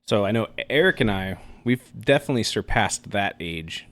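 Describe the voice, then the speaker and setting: A man's voice: fairly low pitch, expressive, moderate pace, a bit bright. A man in his thirties co-hosting a podcast, chatting casually in a teasing, joking way. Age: 30s. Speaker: podcast co-host. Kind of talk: casual chat.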